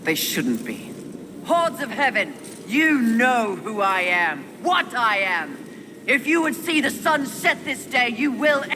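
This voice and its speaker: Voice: mid-range voice, sing-song, slow, noisy background. Fierce horseman in long reverb. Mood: grim menace. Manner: terse, scornful, sardonic. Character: fierce, defiant horseman of the apocalypse, called mistress. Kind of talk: video game cutscene acting.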